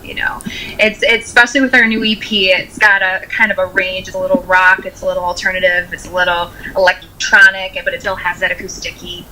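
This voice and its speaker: A woman's voice: lowish voice, flat delivery, a little fast, bright, forceful tone, a little hiss or hum, rough recording. A woman in her twenties with an American accent, chatting casually as a musician being interviewed, often saying "like" as she talks. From Rochester, United States. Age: 20s.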